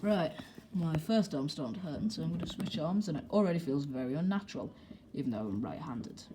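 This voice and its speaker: A woman's voice: sits low, expressive, a little fast, noisy background. A young woman, rambling and joking casually as a vlogger while filming fireworks at home on a handheld camera. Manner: rambling, self-deprecating, mild swearing. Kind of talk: casual vlog commentary.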